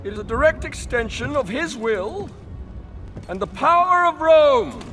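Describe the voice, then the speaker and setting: An actor playing a spoiled, cruel young Roman general, the emperor's son, with an imperious, petulant and theatrical manner in a game cinematic. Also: male voice, extremely high pitch, expressive, unhurried, heavily acted. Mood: haughty, smug. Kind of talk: video game cinematic acting. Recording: loud cinematic music behind.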